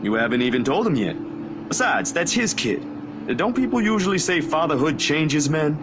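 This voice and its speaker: A man's voice: on the high side, sing-song, normal speed, noisy background. A man voicing an easygoing photographer friend in a visual novel, casual, reassuring and slightly hesitant. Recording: music behind the voice.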